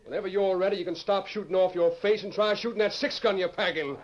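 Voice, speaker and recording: Man playing a high-voiced, blustering cowboy gunfighter. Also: fast, lightly acted. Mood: mock fury. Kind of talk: old-time radio western acting. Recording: muffled vintage radio recording.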